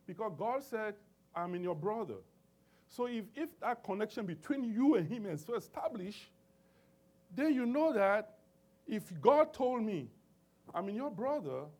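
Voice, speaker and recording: A man's voice: high voice, a little mellow, slightly rough. A man preaching a sermon, calling out for amens and working through scripture with many restarts and fillers. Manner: emphatic call-and-response preaching with many restarts.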